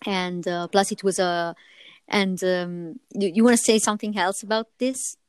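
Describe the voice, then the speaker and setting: Smooth-voiced woman podcast host, late twenties. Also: medium pitch, fairly even intonation, moderate pace, no room sound. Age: late 20s. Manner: hesitant, many fillers and restarts. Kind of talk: casual interview chat.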